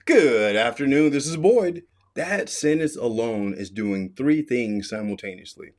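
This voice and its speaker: A man's voice: medium pitch, expressive, a little slow, darkish tone. A middle-aged American man giving an emphatic, instructive explainer commentary as an expert host. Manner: emphatic, instructive, repeats key warnings.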